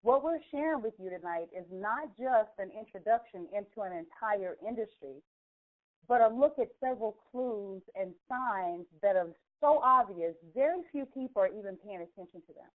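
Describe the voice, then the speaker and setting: Woman pitching over a thin phone line. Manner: emphatic, rhetorical questions to listeners. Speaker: host of an investment pitch conference call. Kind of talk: persuasive sales pitch, scripted storytelling. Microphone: telephone.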